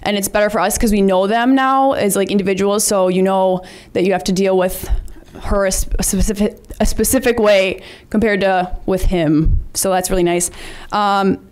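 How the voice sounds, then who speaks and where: A teenage girl, a student leader, presenting to a school board in a chatty way with many ums and likes, and she says she has a loud voice. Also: medium pitch, steady tone, normal speed, few pauses, smooth. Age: teens.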